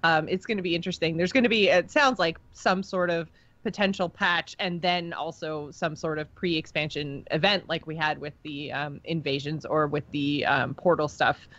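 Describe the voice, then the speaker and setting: A woman's voice: low-pitched, steady tone, normal speed, fairly bright tone, highs cut off. A woman co-hosting a casual gaming podcast, talking in a chatty way and explaining her points at length. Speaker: podcast co-host.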